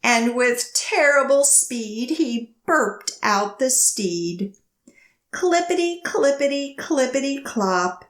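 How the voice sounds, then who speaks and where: A woman leading a children's storytime, reading picture books and action rhymes in an expressive, measured, sing-song way. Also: high-pitched, echoey room.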